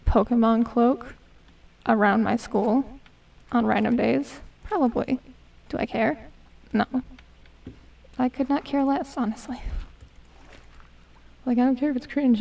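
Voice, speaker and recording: A woman in her twenties, going hoarse as she chats casually and mutters to herself while streaming a game. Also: high-pitched, dark tone, distant mic, lo-fi sound. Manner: chatty, self-mocking, hums and mutters to herself. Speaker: gaming streamer. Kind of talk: casual gameplay commentary.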